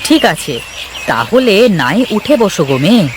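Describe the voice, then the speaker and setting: A man playing a humble, good-natured river boatman in an animated story, speaking in a folksy, reassuring way. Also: high voice, normal speed, background noise. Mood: kindly, reassuring. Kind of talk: animated story character acting.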